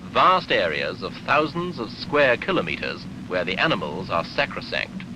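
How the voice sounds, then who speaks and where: A man narrating a 1970s documentary newsreel in a formal, measured, authoritative way, on an archival soundtrack. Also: fairly low pitch, very animated, very noisy.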